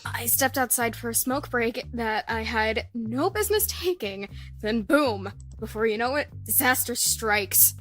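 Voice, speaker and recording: A woman's voice: fairly high pitch, unhurried, fairly bright tone, faint music behind. A woman voicing a nervous, apologetic young female candy shop clerk in a video game, stammering and flustered. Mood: exasperated.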